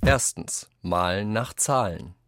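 A man from reads quoted texts for a radio essay, deliberately and with clear articulation. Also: fairly low pitch, animated, loud music behind the voice. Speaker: reader of quotations in a radio essay. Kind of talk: scripted reading of quoted texts.

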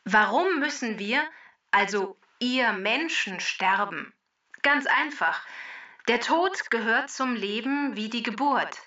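A woman playing a cheerful, self-assured talking database that lectures briskly and precisely through a computer voice filter in a children's podcast. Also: fairly high pitch, expressive, sharp tone, recorded from a distance, highs cut off, full character voice. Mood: self-assured, explaining. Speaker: co-host database character. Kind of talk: scripted explanation in a children's podcast.

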